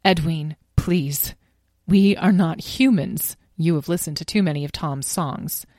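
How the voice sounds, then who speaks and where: A woman voicing a patient, weary mother dragon who explains calmly to her children. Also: sits low, measured pace, no echo, clean studio sound. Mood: pleading. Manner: calm, explaining, gentle scolding.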